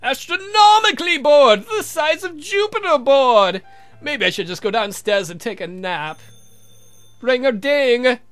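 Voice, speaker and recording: A courtly vampire count acted by a man from a comic horror game, theatrical and exasperated. The voice is bright and high for a man, delivered with formal, dry wit over faint music. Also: expressive, measured pace, full character voice. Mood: bored, exasperated. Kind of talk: visual novel character voice.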